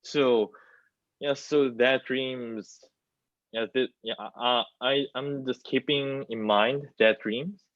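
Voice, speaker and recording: A man in his twenties from South Korea with a Korean accent, answering as a student in a halting, word-searching way. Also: mid-range voice, steady tone, fairly bright tone, dry recording. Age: 20s. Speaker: theater student. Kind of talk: panel discussion answer. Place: small plain room, indoors. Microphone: wireless earbuds on video call. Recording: muffled video-call audio.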